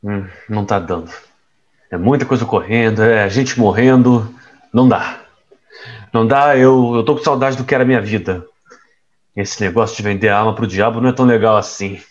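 A man's voice: on the low side, moderate pace, highs cut off, natural voice. Man acting a casual, reckless young man. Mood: troubled. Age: young adult. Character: reckless young man caught in crooked dealings. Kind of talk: audio drama acting.

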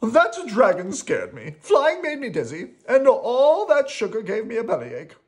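A small, timid female wish-granting creature from a picture book, acted out by a woman reading aloud. The voice sits very high and swoops about anxiously. It is meek and hesitant, repeating itself as it frets. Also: huge pitch swings, unhurried, darkish tone. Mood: frightened. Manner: nervous, hesitant, repeating herself. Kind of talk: acted children's storybook reading.

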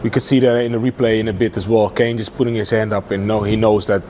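Halting mellow-voiced male football pundit. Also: lowish voice, fairly even intonation, slight background noise. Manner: halting, many fillers and restarts. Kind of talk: studio match analysis. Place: TV studio.

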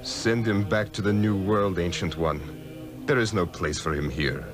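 An actor playing a scheming, arrogant sorcerer's apprentice in a radio drama, with a pompous, theatrical delivery. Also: male voice, deep voice, over music, close to an everyday voice. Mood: smug. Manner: pompous, theatrical, drawn-out incantations. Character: scheming, arrogant male sorcerer's pupil, villain. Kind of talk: 1960s-era radio drama acting.

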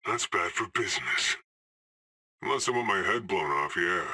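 A man's voice: low-pitched, animated, normal speed, bright, forceful tone, breathy edge, full character voice. An actor playing a cocky, cool male hired assassin in a video game, trading dry, sardonic one-liners.

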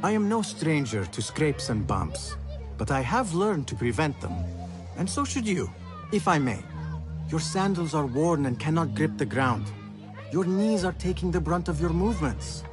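Cocky, persistent young thief for a game; high, animated delivery. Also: male voice, normal speed, music in the background. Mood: friendly, caring. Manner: confident, persistent. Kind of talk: video game cutscene acting.

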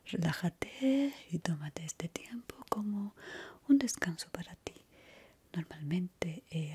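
A young woman in a gentle, chatty, reassuring ASMR roleplay as a barber, whispering breathily close to the microphone. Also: lowish voice, slightly rough. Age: young adult.

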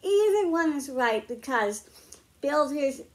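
Slow, high-voiced woman reading to kids. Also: lively intonation. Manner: slow, clear, gently explaining to young children. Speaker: children's librarian. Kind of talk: storytime read-aloud for kids. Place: home room with bookshelves.

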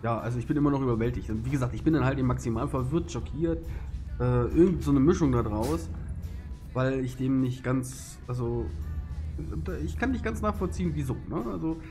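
A man's voice: mid-range voice, fairly quick, warm tone, faint music behind. Rambling man narrating a truck game. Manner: chatty, filler-heavy, self-deprecating. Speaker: let's play host. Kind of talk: rambling gameplay commentary.

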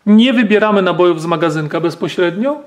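High-voiced man explaining gun parts. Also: animated, a little fast, a little hiss or hum. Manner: explanatory, frequent fillers and tag questions. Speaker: gun channel host. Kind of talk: hands-on firearm demonstration.

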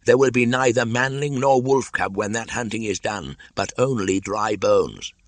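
A man voicing a wise old male python in a storybook, speaking slowly and deliberately in archaic phrases. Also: fairly low pitch, no room sound, voice pushed up, natural voice. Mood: measured, stern.

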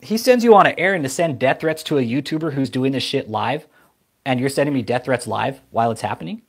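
A man's voice: mid-range voice, lively intonation, fast talker, vocal fry, slightly rough. A man, probably in his thirties, arguing heatedly as a host, blunt and profane, often cutting in. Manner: blunt, combative, profane, interrupting. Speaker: YouTube host confronting a caller. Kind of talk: heated phone argument. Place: small room at home.

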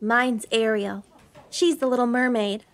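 A woman's voice: fairly high pitch, fairly even intonation, a little slow. An actress playing a sweet, earnest little girl who adores princesses, in a comedy audio drama.

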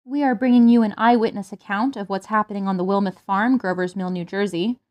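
A composed female news announcer , played by an actress, in a vintage 1930s-style radio drama. Her medium-pitched voice delivers scripted bulletins in a formal, measured way. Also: steady tone, darkish tone, dull audio, natural voice.

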